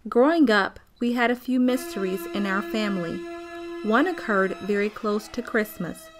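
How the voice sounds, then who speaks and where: A woman's voice: medium pitch, moderate pace, close to an everyday voice. A woman playing a grown-up narrator nostalgically recalling childhood in a radio play. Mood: nostalgic. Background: music.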